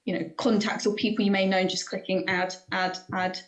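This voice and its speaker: A woman's voice: mid-range voice, little pitch movement, a little fast. A woman co-hosting a podcast, posing a run of questions in casual chat. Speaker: podcast co-host.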